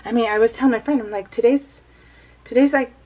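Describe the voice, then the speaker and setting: A woman's voice: on the high side, fairly even intonation, quick pace, darkish tone, low-quality recording. Rambling, chatty middle-aged American woman. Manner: rambling, easily sidetracked. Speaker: craft vlogger. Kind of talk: casual chatty voice-over of a scrapbook layout.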